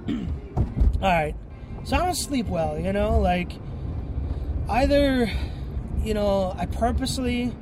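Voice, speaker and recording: A man with a stuffy, congested voice hosting a rambling solo podcast, often clearing his throat and sniffing, full of fillers and restarts. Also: high-pitched, noisy background. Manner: rambling, many fillers and self-corrections. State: tired. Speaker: podcast host.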